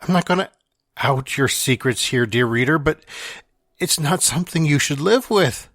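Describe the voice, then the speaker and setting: A man's voice: on the high side, lively intonation, normal speed, a bit bright, no echo, clean studio sound. A man playing a wry, confessional dying man reading out his farewell letter in an audio drama. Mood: wistful regret. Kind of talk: dramatic monologue in fiction podcast.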